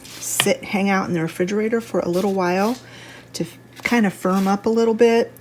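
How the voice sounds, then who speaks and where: A woman with a slightly stuffy, congested voice, giving a casual, chatty home cooking demonstration at an unhurried, thinking-aloud gait. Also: medium pitch, fairly even intonation, a little mellow, slight background noise, poor audio. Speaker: home cook, video host. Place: home kitchen.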